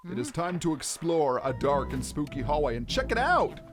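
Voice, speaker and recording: A man with a fairly high voice gives explanatory let's play commentary. His delivery is lively and instructional, with plenty of rise and fall, over game music. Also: moderate pace. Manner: expressive, instructional.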